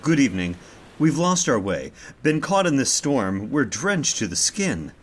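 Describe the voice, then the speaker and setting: A man playing a wry, lively gentleman master. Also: medium pitch, unhurried, slight background noise. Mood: polite, unruffled. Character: dry, unflappable gentleman master sheltering from a storm. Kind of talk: old-time radio horror acting.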